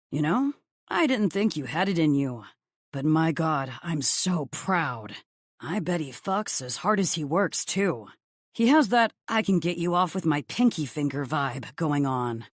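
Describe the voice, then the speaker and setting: A woman's voice: deep voice, big pitch swings, measured pace, slightly rough, no room sound, studio quality, full character voice. A woman voicing a gossipy, teasing young male best friend in a romance audiobook, effusive and blunt. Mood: cheeky delight.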